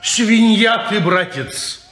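A man's voice: sits high, animated, takes it slowly, a bit bright, heavily acted. An actor playing a fussy, lecturing old man who owns the house, in a 1950s radio play. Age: older.

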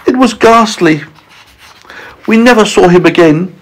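A sorrowful lady spider from a storybook, acted out by a man, pleads her case plaintively. The voice is pushed well up high and sounds husky. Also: measured pace. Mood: grieving. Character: mournful, wronged mother spider in a storybook.

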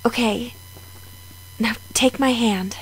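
A woman's voice: fairly high pitch, slow pace, slightly rough, no room sound, poor audio. A woman playing a shy, smitten female beast in an audio drama, tentative and earnest. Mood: eager.

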